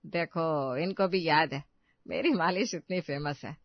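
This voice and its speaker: A woman's voice: sits low, unhurried, dry recording, lo-fi sound. A woman voicing a boastful, scolding middle-aged mother-in-law in a cartoon moral story. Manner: scolding, complaining.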